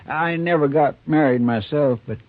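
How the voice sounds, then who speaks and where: An actor playing a good-natured, drawling frontier helper in a 1950s radio drama. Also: male voice, on the high side, normal speed, dry recording, natural voice. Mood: friendly. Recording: tinny phone-like 1950s radio recording.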